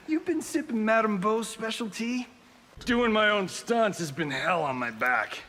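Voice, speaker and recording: Smug high-pitched movie star. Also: male voice, sing-song, takes it slowly, sharp tone, long reverb tail, a little hiss or hum, full character voice. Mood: wisecracking. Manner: flippant, showy, jokey. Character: smug, wisecracking male Hollywood action star. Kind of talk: video game fight banter.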